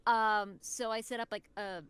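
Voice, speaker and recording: A woman's voice: mid-range voice, fairly even intonation, moderate pace, a bit bright, clear, resonant tone, no echo. A woman voice actor chatting casually as a guest in a podcast interview.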